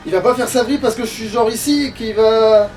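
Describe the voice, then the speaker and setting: A man's voice: high-pitched, a little fast, darkish tone, distant mic, soft background music. A man in casual gaming chat, grumbling and swearing crudely as he plays. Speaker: gamer playing on a let's play.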